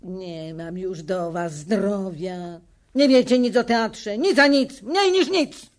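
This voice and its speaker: An actress playing a proud, bossy old theatre widow in a radio play, grumbling and emphatic. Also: female voice, medium pitch, takes it slowly, natural voice. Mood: indignant. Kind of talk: Polish radio play acting.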